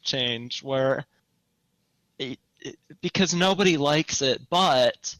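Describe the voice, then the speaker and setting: A young man co-hosting a podcast in casual discussion, opinionated and thinking aloud in a halting way. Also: medium pitch, fairly bright tone, no echo. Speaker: podcast co-host. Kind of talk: casual chat, gaming discussion.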